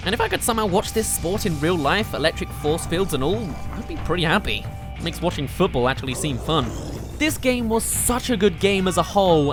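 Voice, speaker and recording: Lively high-pitched man over loud music. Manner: gushing, informal. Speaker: video game reviewer and narrator. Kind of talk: enthusiastic scripted countdown commentary. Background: game soundtrack music.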